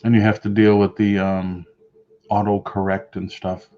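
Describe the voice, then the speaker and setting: A middle-aged man with a Boston accent talks loosely and chattily to his viewers, reading out their comments as he goes. His voice sits on the low side. The webcam audio is dull and lo-fi, recorded in a room at home. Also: little pitch movement, normal speed. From Boston area. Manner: rambling, chatty, reads and answers viewer comments. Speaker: live stream host. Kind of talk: casual live-stream chat. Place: home room with shelves of collectibles.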